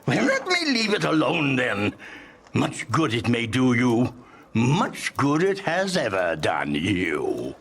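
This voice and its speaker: A man playing a bitter, miserly old businessman in a dramatized audiobook, curt, sneering and rough-voiced. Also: mid-range voice, huge pitch swings, fairly bright tone, exaggerated voice. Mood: scornful. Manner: sneering, snapping, drawn-out sarcasm.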